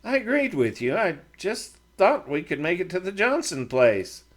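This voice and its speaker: Fussy frontier sidekick, higher register, quick to defend himself; a male narrator voices him. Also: big pitch swings. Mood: defensive. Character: fussy talkative frontier man, Brent's partner.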